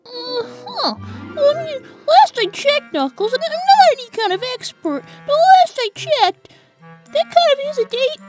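Woman as tiny cartoon fox boy, squeaky high, lively swoops, cheeky, nerdy, chattering. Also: a little slow, music in the background, heavily acted. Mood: smug, amused. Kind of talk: comic dub voice acting.

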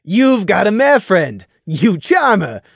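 A storyteller voicing a rowdy young pony stallion who loudly teases his friend. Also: mid-range voice, very animated, slow, creaky, husky, no room sound, clean studio sound, full character voice. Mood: teasing. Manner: boisterous, teasing, pushy.

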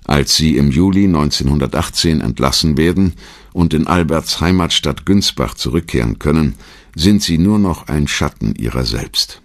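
Man, documentary narration, gravelly low end, measured storytelling, close dry recording. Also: no room sound. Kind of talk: scripted biographical narration for an audio documentary.

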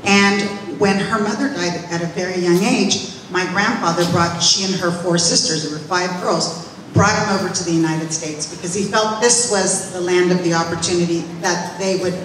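A woman who owns restaurants tells her family story to an audience on stage, speaking loudly into a handheld microphone. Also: lowish voice, normal speed, noisy background. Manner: loud, lively storyteller. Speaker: restaurant owner speaking at event. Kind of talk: personal story speech to audience. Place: large reverberant event hall on stage. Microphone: handheld microphone, far camera. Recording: echoey hall from far off.

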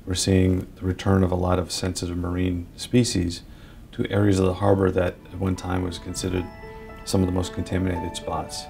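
A man's voice: deep voice, monotone, fairly quick, gravelly, darkish tone. A man giving a documentary interview as a marine life expert. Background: music.

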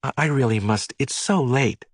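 A man's voice: medium pitch, moderate pace, breathy edge, dry recording, clean studio sound, lightly acted. A man reading aloud, voicing a shy young woman who murmurs hesitantly. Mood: flustered.

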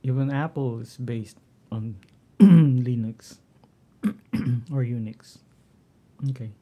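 Slow, dark-voiced Filipino man. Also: mid-range voice. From Philippines. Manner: halting, frequent throat clearing and fillers. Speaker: tech vlogger. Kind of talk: casual screen-share walkthrough.

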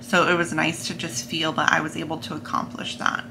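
A woman's voice: sits low, monotone, fairly quick, noisy background. A young adult American woman chatting casually to camera in a vlog, seated in a plain room at home. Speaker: vlogger.

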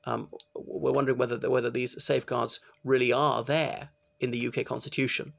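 A middle-aged man, giving an informal law lecture to his webcam in a small room and often pausing with ums. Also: medium pitch, flat delivery, gravelly, fairly bright tone. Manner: chatty, explanatory, with frequent ums and restarts. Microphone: laptop webcam, close framing.

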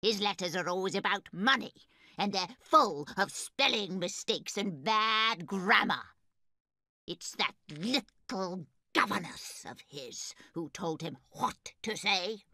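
A shrewd, bitter old lady drawls her words with slow scorn, as a man voices her. Her tone is bright and cutting, pitched far above a man's usual voice. The recording is close and dry. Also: expressive, no echo, full character voice. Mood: scornful.

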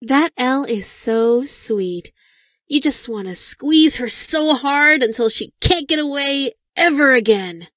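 A sugary yandere schoolgirl for a game, kinda high voice, fake caring, creepy underneath, dry recording. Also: lively intonation, takes it slowly, fairly bright tone, no echo, full character voice. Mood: sweetly menacing. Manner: saccharine, insincere concern. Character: sweet-seeming, secretly menacing yandere high school girl. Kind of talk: video game character voice lines.

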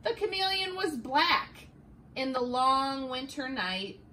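A middle-aged woman teacher reading a picture book aloud in a classroom, slow and expressive, with the camera a few feet away. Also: high-pitched, slight background noise. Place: classroom, indoors.

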